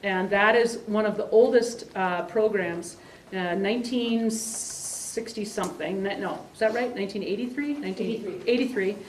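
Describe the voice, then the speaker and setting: Woman presenter at a community meeting, rambling, heard from a distant mic in a roomy space. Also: medium pitch. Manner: rambling, halting with fillers and restarts. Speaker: exchange program coordinator. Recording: roomy sound from a distant mic, faint hiss.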